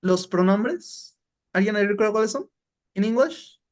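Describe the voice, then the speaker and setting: A man's voice: sits high, expressive, moderate pace. A man teaching an informal online English lesson on a class call, encouraging and often repeating himself for emphasis.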